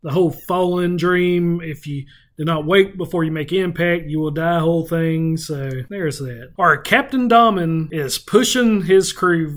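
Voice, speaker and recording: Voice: mid-range voice, a little slow, few pauses. A podcast host recapping a fantasy novel in a casual read-along chat. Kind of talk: book recap with casual banter.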